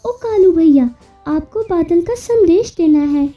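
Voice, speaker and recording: A woman's voice: extremely high pitch, expressive, unhurried, pitched above the performer's own voice. A woman voicing a small, polite, earnest mother sparrow in a children's cartoon story. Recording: muffled audio with music behind.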